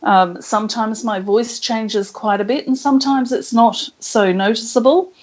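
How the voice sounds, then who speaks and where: A woman being interviewed as a spiritual channeler, speaking slowly and thoughtfully with soft laughs. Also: a little high. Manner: slow, thoughtful, laughs softly. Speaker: guest channeler. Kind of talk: casual interview chat. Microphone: internet call. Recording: muffled call audio.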